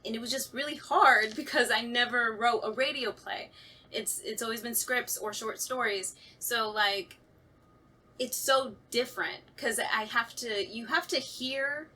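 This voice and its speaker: A woman in a casual chat as a writer and actor, talking in an animated, conversational way and often quoting her own thoughts. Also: a little high. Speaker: writer and voice actor on a radio play talkback.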